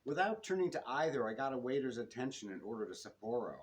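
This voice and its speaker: A man reading his own detective novel to listeners, with expressive narration and the odd stumble. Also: mid-range voice, quick pace. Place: public reading in a bookstore. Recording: noisy live room recording.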